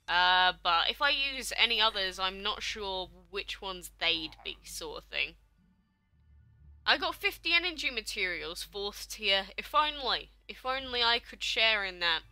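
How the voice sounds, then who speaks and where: A woman's voice: on the high side, pushed and bright. A young woman, streaming a game live and chatting casually as she answers viewers' questions. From England. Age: young adult.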